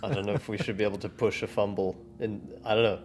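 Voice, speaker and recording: Man, tabletop player, fairly low pitch, casual talk, faint background music. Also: steady tone, fast, darkish tone. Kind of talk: casual chat.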